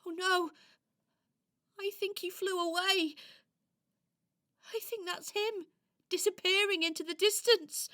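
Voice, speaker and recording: Gentle, frightened little toy, played by a woman for children's radio drama: high, unhurried, tearful-sounding and faltering. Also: steady tone, heavily acted. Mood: bewildered. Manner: hesitant, plaintive, stammering. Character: timid lost Christmas toy, gentle, tearful and easily frightened.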